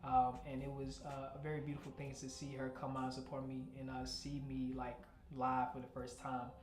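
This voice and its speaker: A man's voice: medium pitch, completely flat delivery, a little fast, a little mellow, echoey room. Laid-back young man from Nigeria. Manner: laid-back, frequent "you know what I'm saying". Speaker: rap artist guest. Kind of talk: casual interview chat.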